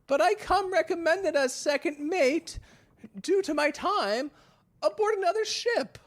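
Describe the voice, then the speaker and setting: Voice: very high voice, animated, measured pace. An actor playing an anxious, tired new recruit in an audio drama, hesitant and pleading in casual modern speech. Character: anxious, exhausted young new actor posing as sailor.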